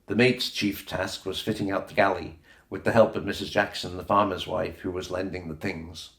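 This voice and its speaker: A man's voice: deep voice, flat delivery, normal speed, vocal fry, fairly bright tone. A man reading a children's adventure novel aloud as scripted narration, with a roomy echo on the recording. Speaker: audiobook reader.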